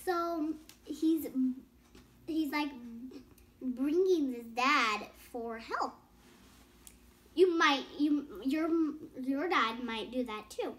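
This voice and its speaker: A woman's voice: sits high, animated, very slow. A young girl reading a bedtime story aloud with casual explanatory asides, stumbling over words and rereading phrases. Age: child.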